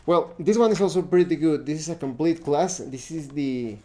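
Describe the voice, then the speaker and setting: A man giving a casual, unscripted tabletop game review, often pausing to search and think aloud. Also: fairly high pitch, dark tone. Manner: halting, searching, thinking aloud while browsing books.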